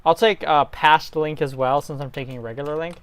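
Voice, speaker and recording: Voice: medium pitch, steady tone, brisk, clean tone. A co-host chatting casually and explaining things in an easygoing way while organizing a story reading. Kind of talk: casual chat.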